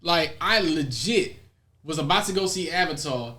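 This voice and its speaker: A man's voice: on the high side, lively intonation, normal speed, sharp tone. A man bantering bluntly on a podcast panel, emphatic and full of swearing, in casual group talk.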